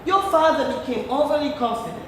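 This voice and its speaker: A woman playing an imperious, scheming middle-aged wife and mother in a stage play, theatrical and deliberate. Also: high voice, expressive. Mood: mocking, calculating. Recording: distant, echoey, noisy theatre recording.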